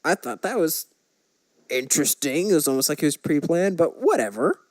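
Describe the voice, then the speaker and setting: A man's voice: on the high side, big pitch swings, moderate pace, dry recording. A man talking casually about movie news as a podcast host.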